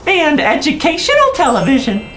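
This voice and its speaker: A woman's voice: high-pitched, huge pitch swings, moderate pace, fairly bright tone, full character voice. A woman voicing a silly, chirpy motherly figure in a kids' reaction video, with exaggerated sing-song reactions. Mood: chatty, teasing. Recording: music bed under the voice. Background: background music.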